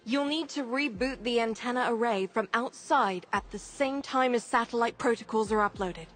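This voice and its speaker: A woman's voice: on the high side, moderate pace, fairly bright tone, natural voice. An actress voicing a determined woman who leads a rebel operation in a video game, giving orders with an authoritative briefing manner. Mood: brisk, commanding. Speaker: mission commander. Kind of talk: video game character acting.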